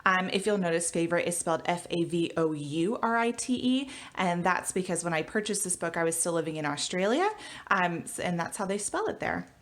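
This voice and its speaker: A woman teacher giving a storybook read-aloud for children, with expressive delivery. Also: on the low side, brisk. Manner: expressive, animated reading. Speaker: elementary school teacher.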